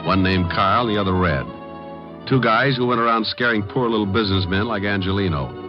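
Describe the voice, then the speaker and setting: A man's voice: fairly low pitch, brisk, close to an everyday voice. A man playing a tough, sardonic, wisecracking private detective in an old radio drama, with a dry, flippant delivery. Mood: wry narration. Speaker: lead actor and narrator. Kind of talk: 1940s-50s radio detective acting. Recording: dull vintage radio recording, faint music.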